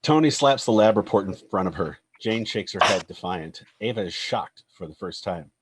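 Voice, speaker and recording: A man's voice: lowish voice, lively intonation, normal speed, slight background noise. A man reading scripted stage directions as narrator of a screenplay table read.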